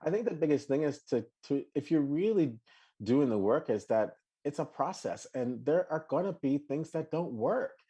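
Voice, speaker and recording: Male podcast co-host, dark and husky, lively stop-start chatter. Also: moderate pace. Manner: animated, many restarts, bursts of high laughing exclamations. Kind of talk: casual live chat.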